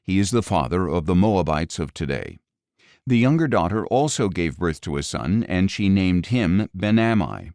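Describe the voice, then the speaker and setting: Deep guy reading bible stories, expressive and measured, dry close sound. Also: no echo. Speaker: audio Bible narrator. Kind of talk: scripted scripture narration.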